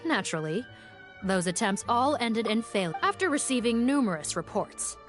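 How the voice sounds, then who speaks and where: A woman playing a composed, dutiful police officer in a video game, giving reports in a measured, matter-of-fact way. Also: fairly high pitch, big pitch swings, soft background music. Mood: resolute. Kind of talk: video game character acting.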